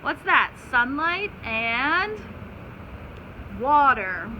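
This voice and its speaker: A woman's voice: sits high, very animated, extremely slow, dull audio. A woman teacher reading aloud to children, stopping to explain simply and put questions to her listeners. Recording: clear background noise.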